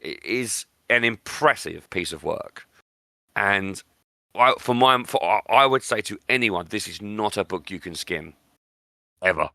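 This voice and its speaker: A man with a low, forceful voice hosts a book podcast. He argues his opinions emphatically and repeats words to drive them home. The sound is dry, with very little room to it. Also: expressive, measured pace, gravelly, no echo. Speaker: podcast host.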